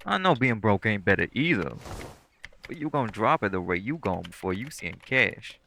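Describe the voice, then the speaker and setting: Man playing a low, gravelly, sweet boyfriend. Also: sing-song, moderate pace. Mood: gently firm. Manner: laid-back, coaxing, slangy. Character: sweet, caring, teasing lightning elemental boyfriend. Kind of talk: intimate boyfriend audio roleplay, casual speech.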